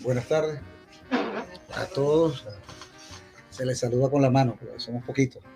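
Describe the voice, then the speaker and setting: A Venezuelan-accented man giving an informal ceremonial address, haltingly, with pauses and repeated words. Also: medium pitch, steady tone, vocal fry, mellow, soft background music. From Maracaibo, Venezuela. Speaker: poet speaking for a university. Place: theatre stage at a public event.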